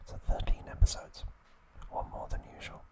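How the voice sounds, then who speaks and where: A man whispering an ASMR review at home, gentle and relaxed, with a soft unvoiced whisper. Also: normal speed. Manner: gentle, relaxed, with occasional fillers. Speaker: ASMR channel host. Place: indoors at home.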